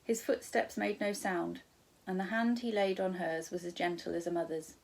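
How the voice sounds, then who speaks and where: A woman reading a children's storybook aloud as a teacher, in expressive narration with the odd corrected stumble. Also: fairly low pitch, moderate pace, creaky, rough recording.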